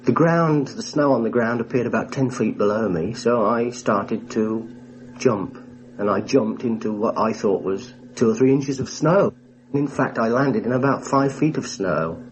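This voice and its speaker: A man, a former airman, recalling his wartime experience in a measured, matter-of-fact way for a radio documentary interview. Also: mid-range voice. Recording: muffled 1960s radio recording, hissy.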